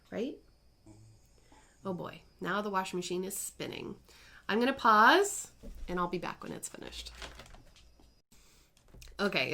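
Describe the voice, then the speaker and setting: A woman in her thirties chats at home about her stitching projects. She rambles and corrects herself as she goes, in an easygoing, conversational way. Her voice sits at a middling pitch. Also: fairly bright tone. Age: 30s. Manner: chatty and rambling, with self-corrections. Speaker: cross-stitch vlogger. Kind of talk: casual chatty crafting vlog.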